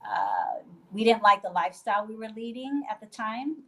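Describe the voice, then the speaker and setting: A woman's voice: mid-range voice, huge pitch swings, normal speed, sharp tone, rough recording. A woman from Puerto Rico talking casually on a video call from a guesthouse bedroom, loose and chatty with many fillers and restarts. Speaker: guest, hostel owner.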